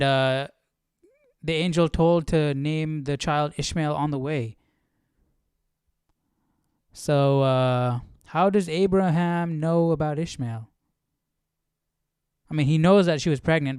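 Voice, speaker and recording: A man's voice: a little high, clean tone, dry recording, studio quality. A man hosting a livestream, chatting casually and musing jokily as he reacts to a reading. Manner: informal, musing, jokey. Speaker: livestream host.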